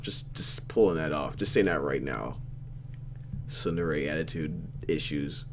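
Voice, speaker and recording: A man's voice: rumbling low, measured pace, noisy background. A man reading a game aloud as a host, with casual narration and slangy, mock-exasperated asides. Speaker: let's play host reading a visual novel aloud. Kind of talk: casual gameplay commentary and read-aloud narration.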